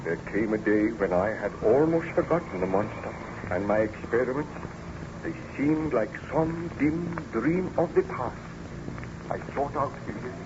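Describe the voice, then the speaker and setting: An actor playing an anguished yet ardent baron scientist in old-time radio drama, formal and melodramatic, on an old radio recording. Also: male voice, mid-range voice, animated, normal speed, lo-fi sound, background noise, lightly acted. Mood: ardent, earnest.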